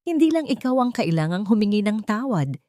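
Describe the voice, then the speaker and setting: Lady reading as tired wounded young billionaire guy in a love drama, determined, animated pitch, dry sound. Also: brisk, dry recording, lightly acted. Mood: weary regret.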